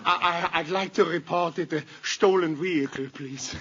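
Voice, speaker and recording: On a dull, hissy vintage radio track, an actor plays a meek, dithering male shopkeeper. His high voice wavers up and down as he stammers and repeats himself in broad comic style. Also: moderate pace, lightly acted. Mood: flustered. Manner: stammering, repetitive, pleading, nervous giggle. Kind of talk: 1950s American radio comedy acting. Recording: dull, very noisy old radio recording.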